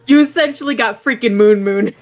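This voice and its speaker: A woman chatting and laughing with friends in a co-op game, playful and quick to give tips. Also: on the high side, a little mellow. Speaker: co-op game player. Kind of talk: casual gaming chat. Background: faint game music.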